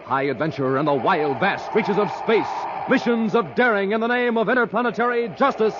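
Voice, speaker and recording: A man's voice: sits high, brisk. A man giving a dramatic, declamatory opening announcement and narration for a 1950s radio serial. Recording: noisy, muffled 1950s radio recording.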